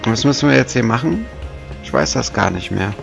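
Gravelly man with a cold. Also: mid-range voice, expressive, fairly quick, faint music behind. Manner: wry, joking asides. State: has a cold. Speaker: let's play commentator. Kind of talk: casual gameplay commentary.

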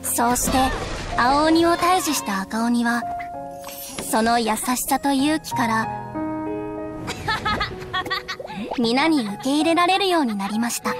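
A woman playing a calm storyteller, narrating a children's folktale in a video game. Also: sits high, slow, long pauses, music in the background. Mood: gentle narrating.

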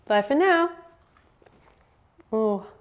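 A woman's voice: on the high side, expressive, extremely slow, phone-quality sound. Upbeat woman hosting a home cooking demo. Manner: upbeat, chatty, explains step by step. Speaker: cooking show host. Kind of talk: friendly recipe demonstration. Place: home kitchen, indoors.

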